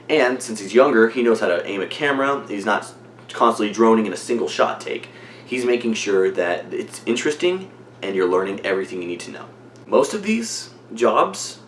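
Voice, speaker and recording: A young man giving an informal persuasive speech as a student, in a conversational way. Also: fairly low pitch. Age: young adult. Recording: echoey room, faint background noise.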